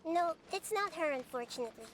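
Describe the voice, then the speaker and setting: A woman voicing a gentle little girl deity in a video game, light and childlike, composed as she explains. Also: extremely high pitch, lively intonation, fast, slight background noise. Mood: thoughtful. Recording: loud game music behind voice.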